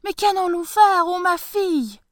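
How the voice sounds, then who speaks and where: A woman's voice: high-pitched, a little slow, no room sound, clean studio sound, voice pushed up. A woman voicing a humble, fretful old peasant farmer who fawns and panics. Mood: despairing. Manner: excitable, fawning and fretful.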